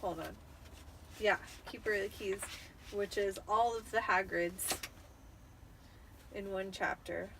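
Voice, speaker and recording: A tipsy woman in her thirties hosts a casual read-aloud. Her voice sits at an average pitch and she talks in a loose, chatty way. There is some ambient noise behind her. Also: fairly even intonation, slow, pauses often. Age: 30s. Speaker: host reading a book aloud. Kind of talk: casual chat with dramatic book reading. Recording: clearly noisy room ambience.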